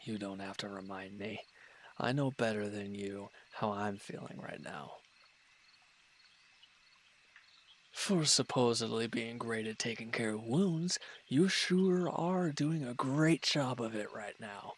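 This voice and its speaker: A man playing a wounded, irritable villain in an audio roleplay, gruff and curt but grudgingly caring, with an exasperated, grumbling delivery. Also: medium pitch, a little slow, close to an everyday voice. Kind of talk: scripted audio roleplay acting.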